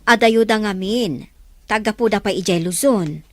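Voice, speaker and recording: A woman's voice: mid-range voice, moderate pace, no echo, close to an everyday voice. A woman with an Ilocano Filipino accent playing an anxious, loving middle-aged mother in an audio drama. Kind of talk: radio-drama dialogue.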